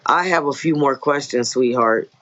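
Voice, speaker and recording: A man's voice: medium pitch, normal speed, a bit bright, soft background music, close to an everyday voice. Man as tender motherly woman, muffled sound. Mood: tender, cheerful. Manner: soothing endearments, tender phrasing. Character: compassionate, motherly woman social worker, jolly, tender.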